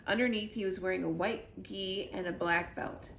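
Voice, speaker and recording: A woman's voice: mid-range voice, steady tone, normal speed, fairly bright tone. A woman reading a children's story aloud expressively, as a library storyteller. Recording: roomy echo with clear background noise.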